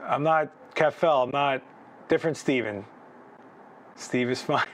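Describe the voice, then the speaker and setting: A man's voice: mid-range voice, unhurried, slight background noise. A man hosting a live photo critique, chatting casually, thinking aloud with restarts and asking "right?", his voice a bit worn. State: losing his voice. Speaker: photography livestream host.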